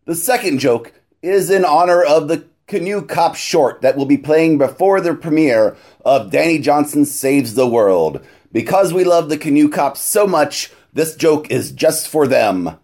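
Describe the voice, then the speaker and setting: A man's voice: on the high side, lively intonation, a little slow, few pauses, a little mellow. A man telling scripted jokes and announcing in a showy, theatrical horror-host manner. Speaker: horror-host comedian with a monthly joke segment.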